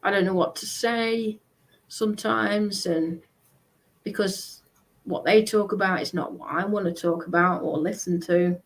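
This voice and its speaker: A woman's voice: medium pitch, steady tone, unhurried, poor audio. Hesitant woman hosting a casual chat. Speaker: livestream host.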